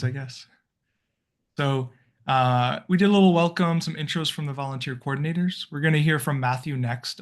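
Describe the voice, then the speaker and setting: A man hosting a volunteer video call as coordinator, talking in a friendly, rambling way with many fillers and restarts. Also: medium pitch. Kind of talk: casual video-call welcome.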